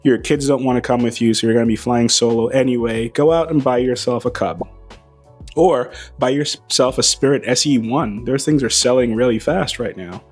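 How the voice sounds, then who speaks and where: Hoarse man, wry hangar talk. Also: mid-range voice, normal speed, soft background music. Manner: wry, playful, lively delivery. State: losing his voice, slightly hoarse. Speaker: aviation channel host. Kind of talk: casual monologue to camera. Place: beside a plane in a hangar. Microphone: small wireless mic.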